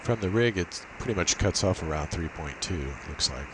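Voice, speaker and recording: A man giving an informal, halting technical demo, thinking aloud as he walks through his setup. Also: low-pitched. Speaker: amateur radio operator giving a tech demo. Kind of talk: informal screen demo walkthrough. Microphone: built-in laptop microphone. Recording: steady hiss from cheap laptop microphone.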